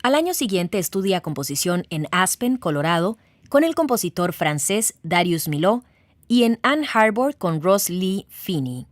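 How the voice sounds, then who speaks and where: A woman's voice: fairly low pitch, big pitch swings, unhurried, no echo, studio quality. A woman reading a scripted radio narration as the program's host.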